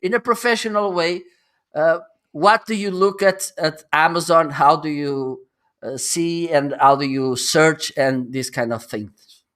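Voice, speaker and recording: A man's voice: fairly high pitch, fairly even intonation, measured pace, fairly bright tone. Chatty male live-stream host. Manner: chatty, laughs often, teasing. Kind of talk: casual live-stream hosting.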